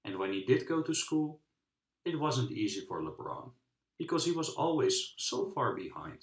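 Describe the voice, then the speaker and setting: A man reads a children's storybook aloud in a lively, swooping delivery. He phrases it in measured pieces with dramatic pauses. The room adds a noticeable echo. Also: mid-range voice. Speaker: storybook reader. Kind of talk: children's book read-aloud narration. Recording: roomy, echoing sound.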